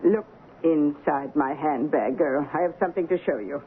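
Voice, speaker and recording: A woman's voice: low-pitched, normal speed, lightly acted. An actress playing a fearless, haughty woman in her eighties, blunt and lecturing, in an old radio drama with a tinny, noisy sound. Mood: haughty, challenging. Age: 80s. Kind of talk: 1970s radio drama acting.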